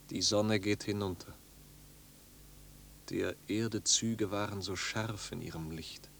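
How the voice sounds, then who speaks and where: An actor playing a restless, tormented young wanderer in a 1950s German radio drama, theatrical and brooding, between dreamy and despairing. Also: male voice, fairly low pitch, a little hiss or hum, heavily acted. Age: young adult. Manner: theatrical, brooding, swings from hushed murmur to loud outcry.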